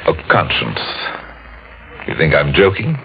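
An actor playing a suave, sinister devilish schemer with formal, silky, taunting speech, in an old-time radio horror drama. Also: male voice, very deep voice, big pitch swings, a little slow, creaky, full character voice. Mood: smugly taunting. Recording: muffled vintage radio broadcast, faint noise.

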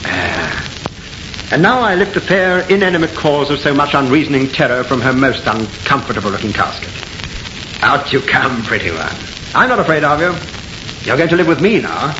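An actor playing a skeptical, condescending gentleman with ornate, commanding speech in an old-time radio horror drama. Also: medium pitch, sing-song, normal speed, natural voice. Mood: scornful, commanding. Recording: muffled, noisy, echoey old radio recording.

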